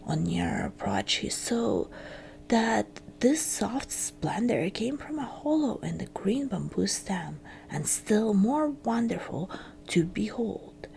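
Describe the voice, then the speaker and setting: A woman reads a fairytale in a hushed ASMR whisper. Her voice is breathy and heavily crackled with fry. She takes her time, leaving gentle pauses between phrases, over an audible hiss and hum. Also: mid-range voice, big pitch swings. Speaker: storyteller.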